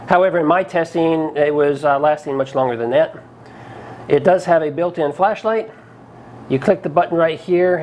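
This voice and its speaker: A man's voice: a little high, a little mellow. A man hosting a casual product review, talking in a plain-spoken, folksy, conversational way. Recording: clearly noisy background ambience.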